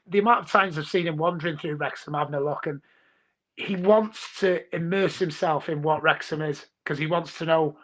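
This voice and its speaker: North welsh guy, welsh speaker, bright voice, chatty and rambly. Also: fairly high pitch. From North Wales. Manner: chatty, rambling with many restarts. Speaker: podcast guest. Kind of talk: casual chat.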